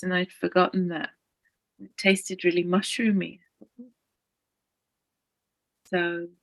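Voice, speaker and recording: A woman in a reflective conversation answers questions slowly and thoughtfully. She adds self-deprecating humor. The audio is dry and close. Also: on the low side, no room sound, low-quality recording. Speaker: guest.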